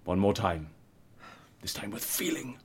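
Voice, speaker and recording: Theatrical British fixer, swooping delivery. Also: male voice, lowish voice, lively intonation, a little slow, breathy edge, full character voice. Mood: soothing, coaxing. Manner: theatrical, wry, coaxing. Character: eccentric, genial male agency fixer, cocoa-loving and breezy. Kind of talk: scripted audio comedy acting.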